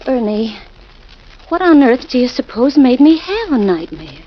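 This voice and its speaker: An actress playing a nervous young wife shaken by a nightmare, breathless and pleading, in 1940s radio acting style. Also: female voice, high-pitched, big pitch swings, a little slow, full character voice. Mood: anxious. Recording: muffled old radio recording with hiss.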